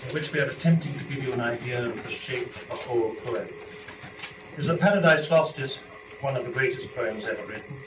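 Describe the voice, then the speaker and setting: A man speaking in a formal, deliberate, articulate way, as a performer presenting poetry to listeners. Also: medium pitch. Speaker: performer and lecturer. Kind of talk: dramatic poetry reading and introductory lecture. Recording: echoey room, distant mic, dull, noisy.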